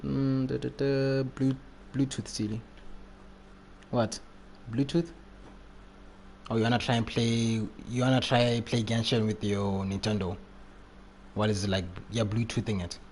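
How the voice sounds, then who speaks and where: A man's voice: mid-range voice, little pitch movement, a little slow, pauses often, darkish tone, slight background noise. A man live streaming a video game, chatting casually in slangy, repetitive phrases. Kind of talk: casual live chat while playing.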